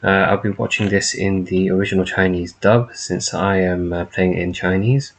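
Low-voiced man reacting casually. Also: fairly even intonation, normal speed, slight background noise. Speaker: host reacting to a game trailer. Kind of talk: casual live reaction commentary. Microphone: laptop built-in microphone. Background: faint trailer music.

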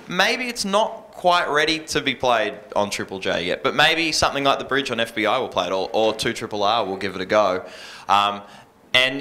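Australian man, thirties, low forceful bright voice, informal panel talk, handheld mic. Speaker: radio presenter on a panel. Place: stage in an indoor venue with a small audience. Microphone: handheld microphone.

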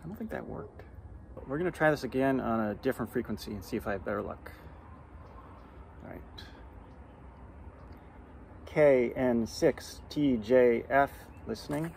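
A middle-aged American man making amateur radio calls and talking casually to camera outdoors, spelling out call signs deliberately. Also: medium pitch, gravelly, a little mellow. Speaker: amateur radio beginner, vlogger. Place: outdoors in a yard and porch. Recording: outdoor background noise.

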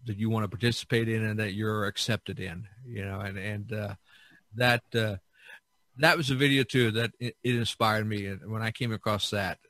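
Bright-voiced middle-aged man, closely recorded. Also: on the low side, moderate pace, no room sound. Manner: rambling, repeats himself, animated when quoting others. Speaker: podcast host, visual artist. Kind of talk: casual storytelling in conversation.

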